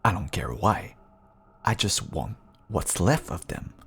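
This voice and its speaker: A man acts out a feral vampire husband in an intimate audio drama. His voice is husky and full of fry, and he takes his time over every taunting endearment. He sounds hungry, sly and manipulative. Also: low voice, big pitch swings, a bit bright, full character voice. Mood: smug, taunting. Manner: slow, taunting, drawling endearments. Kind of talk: intimate audio roleplay acting.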